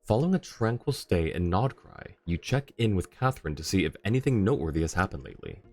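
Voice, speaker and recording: A man's voice: low-pitched, very animated, fast, creaky, darkish tone. A man livestreaming a game, talking casually and conversationally as he reads out and answers chat comments. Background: faint game music.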